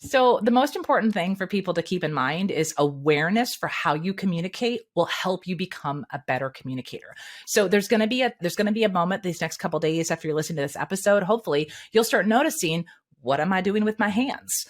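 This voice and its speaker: A woman speaking coach, a guest on an interview show, giving candid and energetic advice. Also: fairly low pitch, fast.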